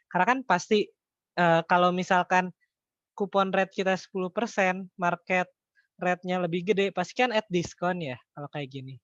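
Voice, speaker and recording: Echoey, higher-voiced man tutoring informally. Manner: halting, mumbles figures while working, checks with listeners. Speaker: accounting tutor. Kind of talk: informal online lesson, thinking aloud through calculations. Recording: echoey room, dull lo-fi online-call audio.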